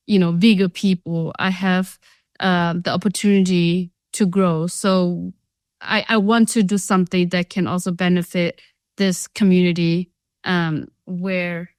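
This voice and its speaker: A woman's voice: fairly low pitch, dry recording, clean studio sound. A young woman from Thailand, a social enterprise founder, telling her story earnestly and chattily as an interview guest. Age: young adult. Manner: earnest, chatty, many "you know" and "like" fillers.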